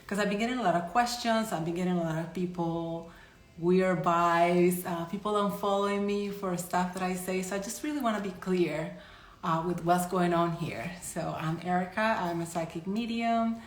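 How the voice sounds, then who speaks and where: Colombian woman in her 30s, Spanish first language, lowish voice, chatty live-stream host with loose restarts, roomy echo. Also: normal speed. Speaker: psychic medium and energy healer. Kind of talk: casual live-stream talk to followers. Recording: echoey room.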